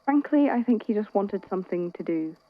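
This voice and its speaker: A woman playing a weary, caring friend in an audio drama, talking in a hesitant, conversational, gently wry way. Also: medium pitch, lively intonation, a little fast, no room sound, phone-quality sound, natural voice. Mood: gently chiding. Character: worried, weary, caring friend at a hospital bedside. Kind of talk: naturalistic audio drama acting.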